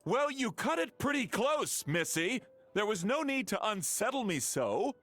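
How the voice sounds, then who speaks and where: A man's voice: sits high, big pitch swings, a little slow, a bit bright, soft background music, heavily acted. A man playing a fussy, self-important town mayor in a video game, with a pompous, formal manner. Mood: flustered. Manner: pompous, formal, affected phrasing.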